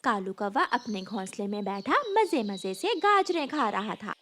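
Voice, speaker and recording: A woman's voice: mid-range voice, very animated, unhurried, a bit bright, slight background noise. A woman reading scripted narration for a children's cartoon story.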